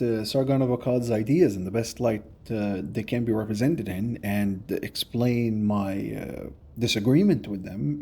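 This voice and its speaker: A man giving a measured, explanatory commentary for a response video, recorded on a phone. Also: medium pitch, mellow, breathy edge.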